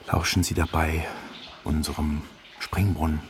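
A man's voice: very deep voice, flat delivery, unhurried, a little hiss or hum. A man speaking as a host, addressing listeners formally and politely like a radio presenter. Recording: music behind the voice.

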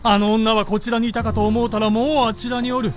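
An actor playing an imperious, ruthless feudal warlord in a radio drama, giving abrupt orders in archaic lordly speech with a forceful, commanding delivery. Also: male voice, very high voice, flat delivery, quick pace, faint music behind. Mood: brooding, conversational. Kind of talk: Japanese radio drama acting.

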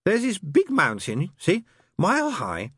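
Sly tipsy demon, slow and wheedling, pitch leaping up and down, breathy and dry, voiced by a man. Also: huge pitch swings, fairly bright tone, dry recording, heavily acted. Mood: relentless. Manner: wheedling, insistent, drawn-out.

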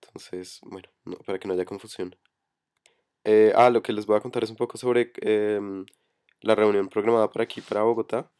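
Man, hoarse from a cold, low monotone voice, hesitant, headset mic. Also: normal speed. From Colombia. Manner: hesitant, many fillers. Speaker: meeting coordinator. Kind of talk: online voice chat meeting. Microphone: computer headset for voice chat.

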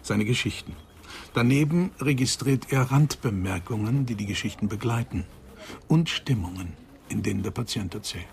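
Earnest elderly psychoanalyst, noisy recording. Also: male voice, fairly low pitch, normal speed, vocal fry. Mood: reflective, admiring. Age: older. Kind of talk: German radio crime drama acting.

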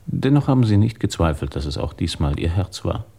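Radio drama: probing male inspector, deep voice, courteous, methodical, quietly in charge. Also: very animated, fairly quick, vocal fry, slightly rough, heavily acted. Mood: polite, probing. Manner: polite, methodical questioning. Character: calm, probing male police inspector, polite but authoritative.

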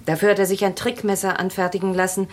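An actress playing a guarded, wistful widow and former stage assistant in a radio crime play, speaking in a measured, confessing way. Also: female voice, medium pitch, little pitch movement, close to an everyday voice.

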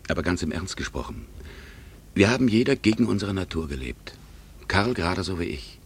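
An urbane old family friend in a 1960s-style radio drama. His voice is husky and fairly low, and his delivery is dry, with gentle irony. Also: animated, fairly quick, heavily acted. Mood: wry, self-justifying. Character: wry divorced man, old family friend about to travel. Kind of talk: 1960s German radio play acting.

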